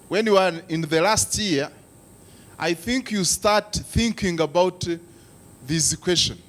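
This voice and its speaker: A man's voice: high voice, a bit bright. A man from Rwanda giving a presentation to a youth forum, asking the audience questions with frequent "uh" hesitations. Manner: engaging, asks the audience questions. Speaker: lead presenter.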